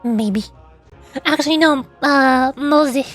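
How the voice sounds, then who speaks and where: A woman's voice: high-pitched, takes it slowly, faint music behind. A young woman streamer reading game dialogue aloud and chatting playfully between lines.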